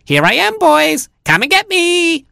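Man doing a shrill, screechy fake vixen. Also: huge pitch swings, measured pace, gravelly, sharp tone, no echo, clean studio sound, exaggerated voice. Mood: melodramatic. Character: shrill fake female fox, dramatic and mock-tragic.

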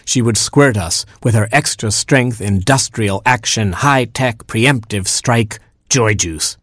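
A man voicing a gentle, apologetic beaver father with a resigned manner in a storybook. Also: lowish voice, slow, no room sound, studio quality. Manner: mild, resigned.

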